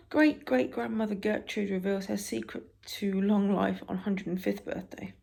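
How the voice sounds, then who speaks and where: A British woman with a raspy voice, softly reading newspaper stories for ASMR and adding wry, halting asides. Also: mid-range voice. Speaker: ASMR creator.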